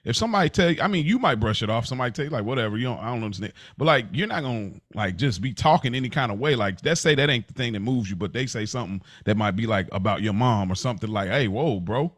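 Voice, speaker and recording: A man's voice: mid-range voice, expressive, fast talker, few pauses, a bit bright, dry recording, studio quality. A man co-hosting a podcast in casual, slangy chat, circling back and repeating himself to make his point. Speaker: podcast co-host.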